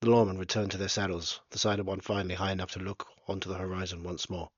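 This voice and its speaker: Man, very deep voice, husky, plain unpolished reading of his novel, dry lo-fi sound. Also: little pitch movement, fast, dry recording. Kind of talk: storybook narration.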